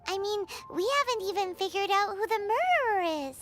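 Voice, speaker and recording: Little fairy companion, extremely high squeaky childlike voice, chirpy, curious, video game, music behind. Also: female voice, lively intonation, fairly quick. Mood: excitable, curious. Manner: speaks of self in third person, exclamatory.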